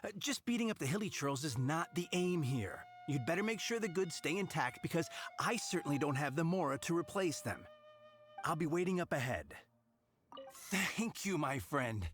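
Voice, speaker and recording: A fussy delivery worker in an anime game. The pitch swings widely around a medium level, with faint music underneath. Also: male voice, sing-song, normal speed, fairly bright tone. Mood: relieved, grateful. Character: exasperated winery delivery worker, fussy, grateful male adult. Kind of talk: English anime game voice acting.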